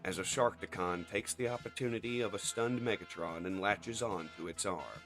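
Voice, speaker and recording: A man's voice: sits low, normal speed, music in the background. A man narrating a comic as scripted drama in a theatrical, emphatic way.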